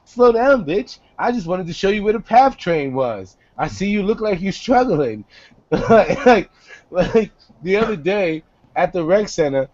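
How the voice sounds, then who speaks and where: Animated young man from New on a call. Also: measured pace, no room sound. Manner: animated and profane, swings between low and high voice. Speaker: host. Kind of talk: casual chat on a video call. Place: small home room indoors. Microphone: headset on a video call. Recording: lo-fi video call audio.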